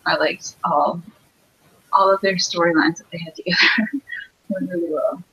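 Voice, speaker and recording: A woman guest reviewer in a casual interview, soft-spoken with giggly laughs. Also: lowish voice, fairly even intonation, normal speed, husky, poor audio.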